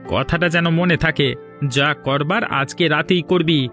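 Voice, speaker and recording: An older village patriarch in a cartoon drama. His voice sits high for a man, and he orders, scolds and threatens like a schemer used to being obeyed. Faint music plays behind him. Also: a little slow, sharp tone. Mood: stern, menacing.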